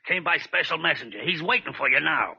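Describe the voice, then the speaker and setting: A blustery, wheedling American agency boss, greedy for cash, with a high, swooping voice full of gravel. He is a character in a 1940s radio detective play, on a dull old recording. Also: expressive, fast, heavily acted. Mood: wheedling, insistent.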